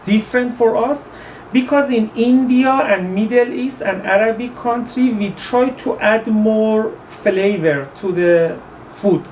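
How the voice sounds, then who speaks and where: An Iranian-accented man in his thirties talks as a guest in a relaxed interview. He pauses often, hunts for words and restarts his sentences. He sits a little back from the mic, with a faint hiss of noise behind him. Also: high-pitched, distant mic, low-quality recording. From Iran. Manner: halting, searching for words with many fillers and restarts. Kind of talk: casual interview in English.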